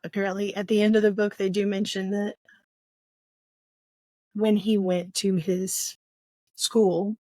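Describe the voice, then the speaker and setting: A woman co-hosting a casual book chat, with a mid-range voice and a very dry, close sound. She talks in a loose, rambling way, thinking out loud and trailing off. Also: mid-range voice, flat delivery, measured pace, long pauses, dry recording. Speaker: book podcast co-host.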